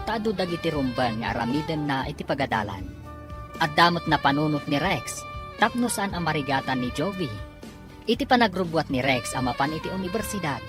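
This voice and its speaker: A woman with an Ilocano accent giving dramatic scripted narration as the announcer of a radio drama. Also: low-pitched.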